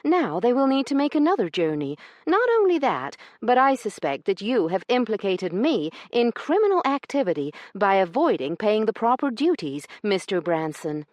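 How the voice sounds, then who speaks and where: A woman voicing a prim, sharp-tongued young lady who speaks in a clipped, proper and cutting manner. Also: mid-range voice, sing-song, normal speed, few pauses, no echo, dull audio, voice pushed up, close to an everyday voice. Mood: indignant.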